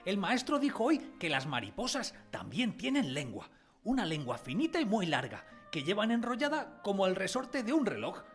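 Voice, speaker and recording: Wide-eyed schoolboy male actor, innocent and eager, high bouncing voice, radio play with light music. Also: wildly swooping pitch, normal speed, heavily acted. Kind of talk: radio drama acting.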